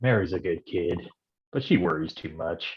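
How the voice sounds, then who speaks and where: A world-weary private eye played by a man, talking in a very low register. He drawls hard-drinking film noir slang, part voiceover and part dialogue. The sound is dull, like a livestream. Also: lively intonation, normal speed, crowd noise. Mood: cynical, brooding. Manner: slangy hardboiled delivery.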